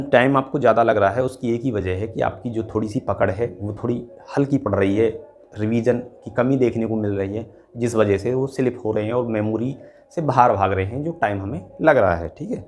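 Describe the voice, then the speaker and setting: A man's voice: mid-range voice, a little mellow. A man with a North Indian accent giving informal exam coaching advice, repeating his points and often adding short check-in tags. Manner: repetitive, often checks in with short tag phrases. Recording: music playing behind the voice.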